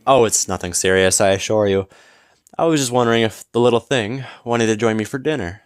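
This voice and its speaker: A man playing a smug, flirtatious businessman in an audio roleplay, teasing and smooth-talking with mock politeness. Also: fairly low pitch, a little fast, no echo, clean studio sound, close to an everyday voice. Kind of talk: scripted audio roleplay acting.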